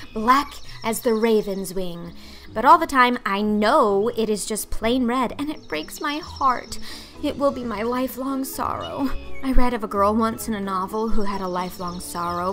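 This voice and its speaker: A woman's voice: fairly high pitch, a bit bright. Storybook role: woman playing dramatic, dreamy orphan girl, earnest chatterbox, faint music bed. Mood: wistful, dramatic. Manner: effusive, earnest, theatrical. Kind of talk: audiobook character acting.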